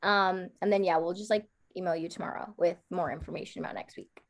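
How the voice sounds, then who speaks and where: A woman's voice: lowish voice, fairly even intonation, fast, gravelly. A woman, chatting informally on a video call and often saying "like". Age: early 20s. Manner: informal, peppered with "like". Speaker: host and contestant of a dating show. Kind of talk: casual chat on a video call. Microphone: video call microphone.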